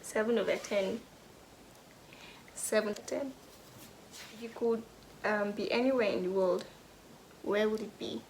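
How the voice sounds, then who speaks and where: A young Nigerian woman answering get-to-know-me questions in a casual vlog, thoughtful, pausing often and sometimes dropping to a whisper. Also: mid-range voice, vocal fry, slight background noise, low-quality recording. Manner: thoughtful, pauses with "hmm" and "um", drops to a soft whisper at times. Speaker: vlogger.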